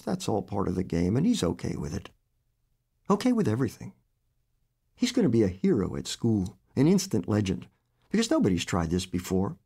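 A man, an author, reading his own short story aloud in an expressive, animated storytelling manner. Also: a little high, gravelly.

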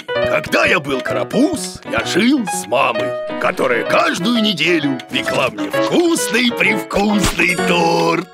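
A man's voice: sits high, full character voice. A man voicing a grumpy, boastful, childlike bearded monster teacher in a children's cartoon, stammering and mangling his words. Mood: grumbling. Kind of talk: animated educational children's cartoon acting.

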